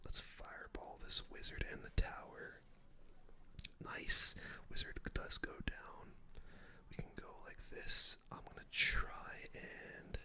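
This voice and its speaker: Whispering ASMR gamer. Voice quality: breathy unvoiced whisper. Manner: calm running play-by-play, muttering. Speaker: gaming streamer. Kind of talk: whispered ASMR game commentary. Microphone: close microphone.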